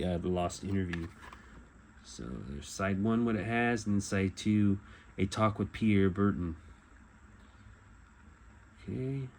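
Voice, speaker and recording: A middle-aged man giving a casual show-and-tell as a collector, talking in a halting, rambling way and often correcting himself. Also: lowish voice. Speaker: collector presenting memorabilia. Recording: clear background noise.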